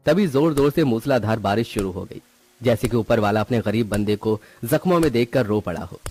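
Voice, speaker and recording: A man's voice: on the low side, expressive, brisk, darkish tone, breathy edge, faint music behind. A man reading scripted narration for a moral story.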